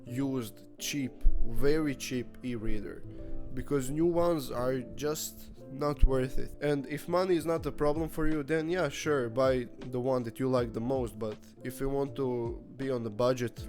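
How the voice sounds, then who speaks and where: A man talks straight to the camera in a relaxed vlog style, candid and joking, with the odd swear word. His voice sits at an ordinary middle pitch. Soft music plays faintly underneath him. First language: Croatian. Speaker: book vlogger. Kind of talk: casual vlog monologue.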